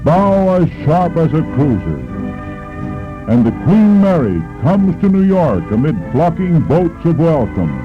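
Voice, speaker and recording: A man giving brisk, punchy 1930s newsreel narration. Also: a little high, big pitch swings. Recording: dull old film soundtrack with music.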